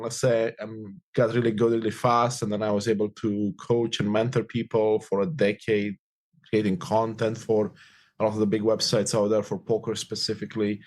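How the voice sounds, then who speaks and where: A man's voice: on the low side, a little mellow. A man in his forties, from Romania with Romanian as his first language, talking upbeat and reflective as an interview guest, often repeating phrases. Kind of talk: casual interview conversation.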